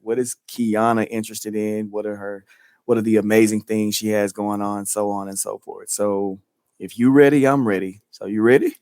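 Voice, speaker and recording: A man's voice: fairly low pitch, darkish tone, clean tone, no echo. Chatty man hosting a podcast, dry sound. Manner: playful, chatty, many fillers. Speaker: podcast co-host. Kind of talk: casual podcast chat.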